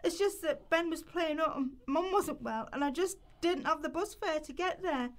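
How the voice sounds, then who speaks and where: Desperate young mum for radio drama, played by a woman: high voice, pleading, flustered, apologetic. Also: moderate pace, natural voice. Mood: pleading, distressed. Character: desperate young mother pleading over sanctioned benefits. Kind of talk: amateur radio play rehearsal.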